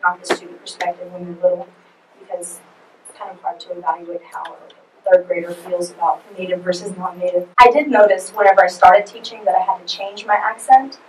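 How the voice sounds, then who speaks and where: A woman's voice: fairly low pitch, fairly even intonation, brisk, darkish tone, a little hiss or hum. A woman leading a forum discussion as presenter, asking for comments and answering questions. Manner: conversational, leads questions. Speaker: student presenter. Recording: echoey room from far off.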